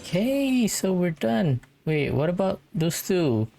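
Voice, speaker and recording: A woman's voice: fairly low pitch, very animated, takes it slowly, gravelly, mellow, faint music behind. A woman giving casual, laid-back commentary while playing a video game. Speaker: gameplay commentator.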